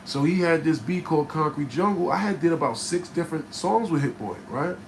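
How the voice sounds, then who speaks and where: Raspy rapper spinning a studio story. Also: male voice, a little high, a little fast. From New Jersey, USA. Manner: animated, profane, keeps checking in with "right?". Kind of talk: casual storytelling to camera. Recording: noticeable background noise.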